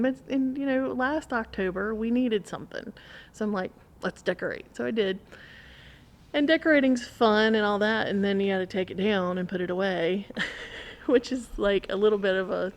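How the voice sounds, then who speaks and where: A middle-aged American woman chatting casually and telling anecdotes as a podcast co-host. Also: mid-range voice. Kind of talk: casual chat, anecdotal storytelling.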